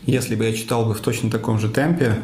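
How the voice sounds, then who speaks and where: A man, a conference speaker and cloud architect, answering interview questions thoughtfully, with many fillers and pauses. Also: lowish voice, fairly even intonation, darkish tone, roomy sound.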